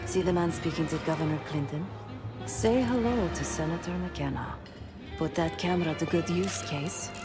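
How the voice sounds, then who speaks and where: An actress playing a cool, brisk female covert operative in a video game cutscene. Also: on the low side, natural voice. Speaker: operative who has done scouting for the team. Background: music.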